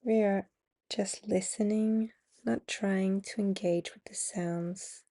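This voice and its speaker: Female yoga nidra guide: mellow tone, slow pace, soothing and spacious delivery. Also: mid-range voice, steady tone. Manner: soothing, unhurried instructions with long pauses. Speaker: meditation guide and podcast host.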